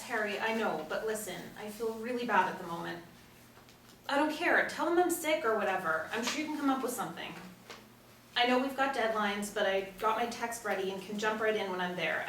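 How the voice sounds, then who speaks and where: A woman's voice: mid-range voice, a little fast, fairly bright tone, natural voice. A woman as a stressed actress, echoey room. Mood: stressed, evasive. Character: stressed, evasive TV actress hiding from recognition. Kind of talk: script table read. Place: small room indoors. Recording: roomy, distant mic, faint noise.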